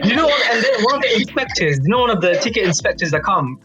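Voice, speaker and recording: A young British man casually telling an anecdote to friends in animated slang, repeating himself and checking that they follow. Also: high-pitched, fast, dull audio, a loud crowd. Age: young adult. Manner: animated slangy storytelling, repeats himself, seeks agreement with tags. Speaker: youth advisory board member on a podcast.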